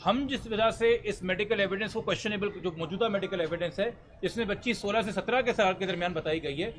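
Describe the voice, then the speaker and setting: High-pitched Pakistani man, formal and emphatic. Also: fast, fairly bright tone, a little hiss or hum. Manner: articulate, formal, emphatic, mixing in English legal terms. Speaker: lawyer speaking to reporters. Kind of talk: press statement answering reporters' questions.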